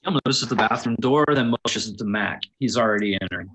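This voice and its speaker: A man's voice: lowish voice, quick pace, a bit bright, husky. A man reading stage directions aloud as scripted narration, now and then stumbling over a word and correcting himself. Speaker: stage directions reader at a table read.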